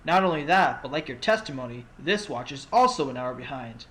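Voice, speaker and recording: A man's voice: mid-range voice, lively intonation, brisk, a bit bright, lightly acted. A man voicing a cocky young fox defense lawyer, smug and pointed, in a fan audio drama. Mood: triumphant, accusing.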